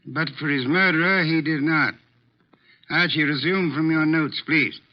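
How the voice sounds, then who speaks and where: An actor as a pompous, higher-voiced genius detective. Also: male voice, a little slow, lightly acted. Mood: probing, assured. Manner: pompous, formal, precise diction. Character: imperious, pedantic, housebound genius detective, a large man. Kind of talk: 1940s-50s radio mystery acting. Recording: dull vintage radio recording.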